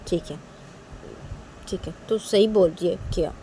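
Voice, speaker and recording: A woman doing a soft, heartbroken friend. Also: lowish voice, measured pace, a little hiss or hum, lightly acted, poor audio. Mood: hopeful. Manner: soft, seeking comfort. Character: heartbroken young person seeking reassurance.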